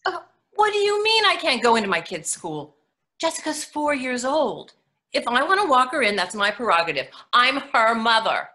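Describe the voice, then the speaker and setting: A woman playing a wry, blunt, protective mother in a staged monologue, delivered dramatically with emphatic pauses. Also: on the high side, very animated, bright, forceful tone. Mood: indignant.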